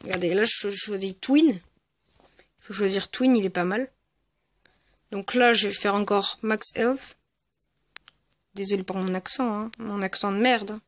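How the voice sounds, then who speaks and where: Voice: medium pitch, unhurried, long pauses, fairly bright tone, no echo. Hesitant gamer, very dry sound. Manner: hesitant, rambling. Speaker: gaming video creator. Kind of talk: casual gameplay commentary. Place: at home, voice-over on mobile gameplay recording.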